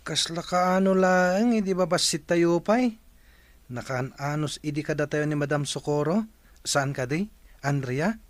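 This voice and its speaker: A man's voice: fairly high pitch, measured pace, no room sound, natural voice. A man playing a friendly, respectful young student in a radio drama. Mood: playful. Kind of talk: Ilocano radio drama acting.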